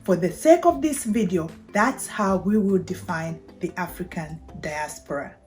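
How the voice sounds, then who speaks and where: A middle-aged woman from Zambia with a Zambian accent, hosting a prepared explainer in a measured, deliberate way. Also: on the low side, expressive, darkish tone, faint music behind. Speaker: video channel host. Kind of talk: prepared explainer talk to camera.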